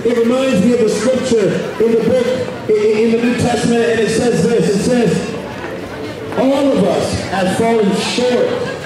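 Guy street preaching at night w a handheld mic, really high voice, keeps shouting, echoey street. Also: measured pace, a crowd in the background. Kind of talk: open-air street preaching. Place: outdoors on a city shopping street at night. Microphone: handheld microphone through a street speaker.

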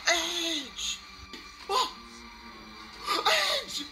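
A woman voicing an excitable, foul-mouthed show host who whispers breathily and yells. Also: extremely high pitch, slow, over loud music, heavily acted, poor audio. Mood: hyped. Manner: whispery and breathy, then yelling.

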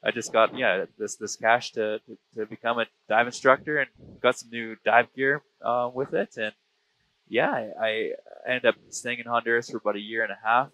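A man's voice: medium pitch, fairly even intonation, moderate pace, sharp tone, low-quality recording. A man in his thirties with a western Canadian accent, telling stories casually in an interview over an aviation headset mic. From British Columbia, Canada. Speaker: interview guest, diver and filmmaker. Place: small plane cockpit in flight. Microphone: aviation headset boom. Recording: close aircraft headset intercom.

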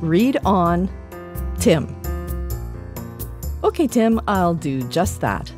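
A woman with a Canadian accent presenting a podcast segment, reading aloud with light asides. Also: on the low side.

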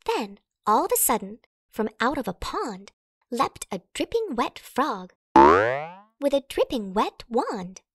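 Animated high-voiced woman reading a storybook. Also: takes it slowly, slightly rough, no echo, clean studio sound. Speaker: storybook narrator. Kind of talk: expressive children's read-aloud in rhyme.

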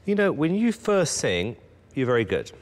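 A man's voice: mid-range voice, huge pitch swings, normal speed. A man judging auditions, blunt and cutting in his critiques. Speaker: talent show judge.

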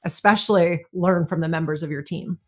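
A woman's voice: on the low side, a little fast. A middle-aged woman answering interview questions over a video call from a room with bookshelves, earnest and reflective. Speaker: guest, retired fighter pilot.

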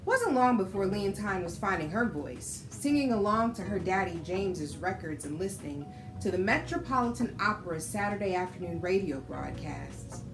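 Children's storytime host: American woman, 30s, medium pitch, expressive and instructive, clear music bed underneath. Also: normal speed, hardly any pauses, poor audio. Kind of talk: read-aloud storytelling for children.

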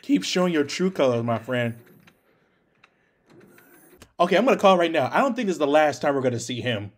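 Young guy doing casual reaction commentary, slangy, pretty high voice, swings around a lot. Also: big pitch swings, measured pace. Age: young adult. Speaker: anime reaction host. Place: small room at home, indoors.

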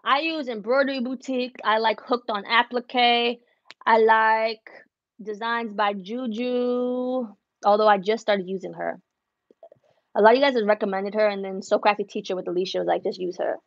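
A woman's voice: fairly high pitch, steady tone, normal speed, dry recording, dull audio. Chatty young woman vlogging from her studio. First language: Spanish. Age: young adult. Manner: chatty, switches between English and Spanish. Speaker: embroidery business vlogger. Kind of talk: casual livestream chat. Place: embroidery studio room, indoors. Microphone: wireless earbuds.

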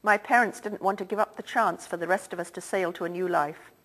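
A woman's voice: lowish voice, fairly even intonation, brisk, a bit bright. Old rich theatre guy, confiding and a bit sad, leans in to tell you stuff, woman reading him out. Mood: somber. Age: older. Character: older Irish-born male theatre owner, wealthy, earnest. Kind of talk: audiobook character reading.

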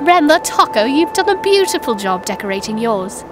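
A woman's voice: on the high side, sing-song, normal speed, over music. A woman voicing a confident, determined young female crow in a children's cartoon, self-assured and emphatic.

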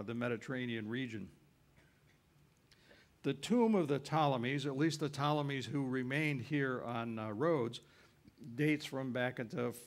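A man giving an informal travel lecture on a cruise ship, folksy and rambling, with frequent uhs and self-corrections. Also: mid-range voice.

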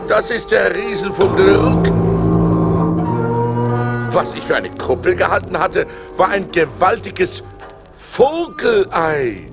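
A man's voice: high voice, slow pace, gravelly, pauses often, over music, heavily acted. An actor playing a fearful yet resourceful seafaring adventurer, telling his perils in a theatrical, suspenseful way for an audio play. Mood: awed, alarmed. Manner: theatrical, suspenseful, expressive delivery. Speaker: narrator and lead actor. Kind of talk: dramatic storytelling in a German audio play. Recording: vinyl record transfer.

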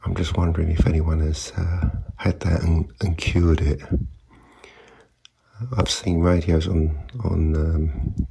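Male hobbyist presenter, dark husky tone with some gravel, unscripted and hesitant. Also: a bass voice, completely flat delivery, takes it slowly. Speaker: video maker, CB radio hobbyist. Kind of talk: casual unscripted demonstration.

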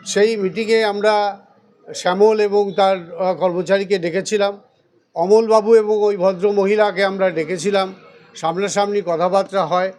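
A man's voice: high-pitched, fairly even intonation, normal speed, darkish tone, soft background music. A man, speaking emphatically and defensively as a local politician in a news interview, often using rhetorical questions. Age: 60s. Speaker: local politician answering a reporter. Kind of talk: news interview statement, rebutting accusations.

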